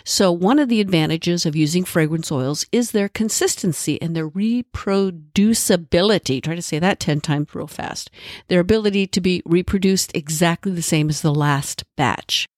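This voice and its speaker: A woman's voice: lowish voice, normal speed, darkish tone, dry recording, studio quality. A woman podcast host with a congested, nasal voice from a cold, giving a friendly solo explanation with asides. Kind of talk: solo educational podcast talk.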